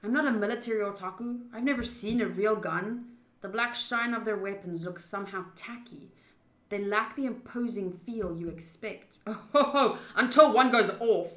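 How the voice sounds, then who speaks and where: A young woman with an expressive, chatty manner, reading a visual novel aloud and commenting as she plays. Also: mid-range voice. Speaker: streamer playing a visual novel. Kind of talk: gaming commentary, reading story text aloud. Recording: roomy, echoing voice.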